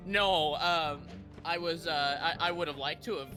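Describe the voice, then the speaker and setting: A man's voice: a little high, measured pace, bright, forceful tone, soft background music. A man chatting casually on a stream call, rambling and stumbling with lots of "like". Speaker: stream guest. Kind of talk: casual chat.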